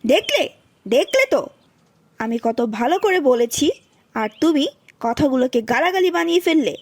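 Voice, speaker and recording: A woman voicing a bossy, sharp-tongued, scheming aunt and mother in a Bengali cartoon. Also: sits high, big pitch swings, moderate pace, dry recording. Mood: scolding. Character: shrill, scolding, scheming aunt and doting mother. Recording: music behind the voice.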